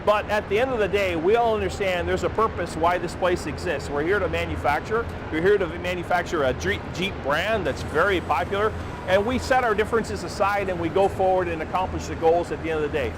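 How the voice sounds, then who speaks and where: A man's voice: high-pitched, fairly quick. An American man who works at a car plant, speaking in a news interview. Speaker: auto plant worker interviewed. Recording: clear vehicle and plant noise.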